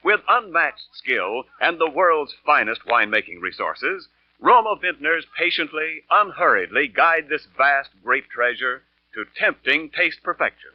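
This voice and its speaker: A man's voice: on the high side, very animated, unhurried, no echo. A man with an American accent reading a scripted 1940s radio commercial as the announcer. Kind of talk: 1940s radio advertising read. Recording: muffled old radio recording.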